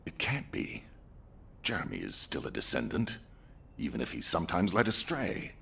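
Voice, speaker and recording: Stern game commander, husky, very animated pitch, authoritative, impatient, clipped. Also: male voice, normal speed, full character voice. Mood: troubled, insistent. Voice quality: gravelly, husky. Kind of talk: video game voice acting.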